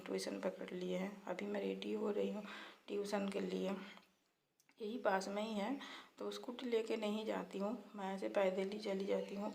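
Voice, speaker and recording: A woman with a slightly hoarse, creaky voice talks through her day as a casual vlogger. Her delivery is fairly even in pitch, chatty and simple, with some English words dropped in. There is faint noise in the home recording. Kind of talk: casual daily-life vlog narration.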